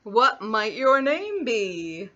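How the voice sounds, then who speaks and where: A sly male wolf, acted out by a woman storyteller, courteous to excess and secretly hungry. He draws his words out slowly, with big swooping pitch, in a slightly muffled recording. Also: very animated, bright, forceful tone, exaggerated voice. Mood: oily charm. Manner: silky, drawn-out, exaggerated politeness.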